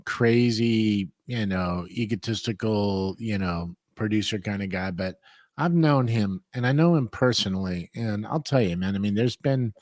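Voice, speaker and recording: A man telling stories in a casual chat, animated and often throwing in "man" and "you know". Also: lowish voice, normal speed, no room sound.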